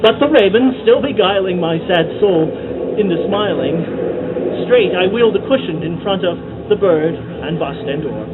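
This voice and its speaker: A man giving a dramatic poetry reading in a theatrical, measured way with deliberate pauses. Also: sits high, lively intonation. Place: outdoors at night by a cornfield. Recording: muffled amateur outdoor recording, loud background noise.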